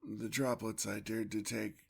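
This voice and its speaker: A man playing a weary, tender, courtly male vampire in an ASMR roleplay, with a husky, gravelly voice and formal, old-fashioned speech. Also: lowish voice, little pitch movement, a little slow, full character voice.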